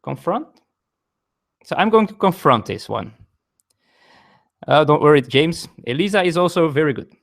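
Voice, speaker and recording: Man, non-native English accent, explaining board game rules, lively pitch, chatting with viewers, self-correcting. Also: moderate pace, vocal fry, long pauses. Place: home, at a game table.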